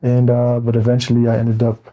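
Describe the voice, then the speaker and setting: An American man in his forties talking casually as an interview guest, easygoing and meandering, often pausing mid-thought. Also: medium pitch, completely flat delivery, phone-quality sound. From Wisconsin, United States. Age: 40s.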